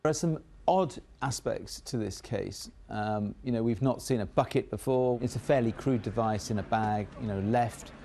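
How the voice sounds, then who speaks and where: A man's voice: on the low side, normal speed, creaky, few pauses, dark tone. A man giving spontaneous analysis in an interview as an expert commentator.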